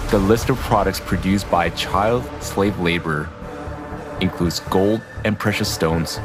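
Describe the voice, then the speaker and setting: A man reading scripted documentary narration as the narrator of a video. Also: sits low. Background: background music.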